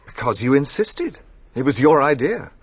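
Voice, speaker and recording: A man's voice: mid-range voice, big pitch swings, moderate pace, husky, dry recording, dull audio. An actor playing an earnest, eager young Englishman in a radio play, a little flustered.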